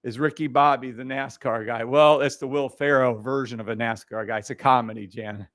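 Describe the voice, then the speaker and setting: A man hosting a trading livestream in casual chat, speaking loudly and bluntly with frequent swearing and laughter into a boom mic. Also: fairly even intonation, a little fast, no echo, studio quality. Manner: loud, blunt and profane, laughs often.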